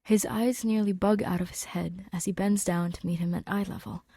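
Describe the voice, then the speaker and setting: A woman's voice: mid-range voice, fairly even intonation, normal speed, mellow, husky, no room sound, clean studio sound. A woman narrating a scripted story as a podfic reader, in a conversational, wry way.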